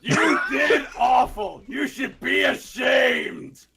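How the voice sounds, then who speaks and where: A man voicing a bitter, miserable cartoon animal, gloomy and drawn-out, with a rough gravelly voice. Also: very high-pitched, animated, sharp tone, shouting, some chatter behind, a little hiss or hum, heavily acted. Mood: scolding.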